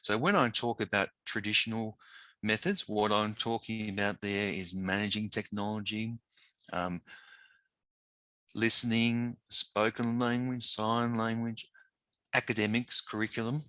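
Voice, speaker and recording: A man presenting a webinar as a teacher of the deaf, slightly hoarse and talking informally with frequent pauses and fillers. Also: on the low side, steady tone, pushed and bright, no room sound. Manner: informal, conversational, with frequent ums and restarts.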